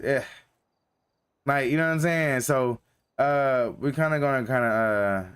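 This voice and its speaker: Young male streamer from North Carolina; loose, filler-heavy slangy chat to viewers. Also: mid-range voice. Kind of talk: casual live-stream chat.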